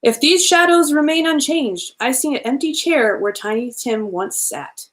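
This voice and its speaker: Booming, stern giant ghost played by a woman. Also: normal speed, a bit bright. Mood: grave. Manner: booming, scolding, big talk. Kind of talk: children's storybook character voice.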